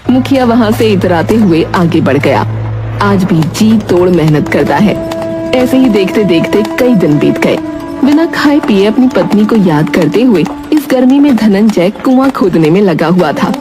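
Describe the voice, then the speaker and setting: A female narrator tells a scripted village folk tale for a cartoon. Her voice sits at an average pitch for a woman, and music plays clearly underneath. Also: lively intonation, unhurried. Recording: music behind the voice.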